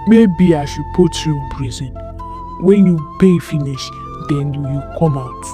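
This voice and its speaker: A woman's voice: deep voice, unhurried, pitched below the performer's own voice. A woman voicing a stern, angry old king, thundering and commanding. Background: music.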